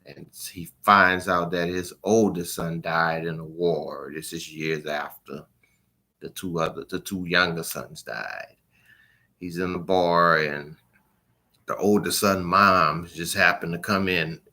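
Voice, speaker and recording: A man talking casually and informally as a book reviewer, in a halting, rambling way that trails off at the ends of phrases. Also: low-pitched, steady tone, pushed and bright.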